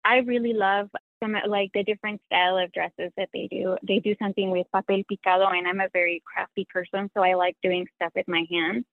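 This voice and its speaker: A woman's voice: mid-range voice, fairly even intonation, a little fast, no echo. A woman whose first language is Spanish, a sewing content creator speaking as a guest in an interview, in an earnest, reflective, self-critical way. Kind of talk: interview conversation. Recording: tinny dry phone line.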